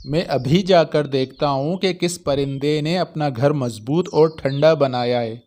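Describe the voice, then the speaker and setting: A man's voice: fairly high pitch, measured pace, a little mellow, close to an everyday voice. A man voicing a hesitant, deferential male crow, a henpecked husband in a cartoon. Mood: scheming.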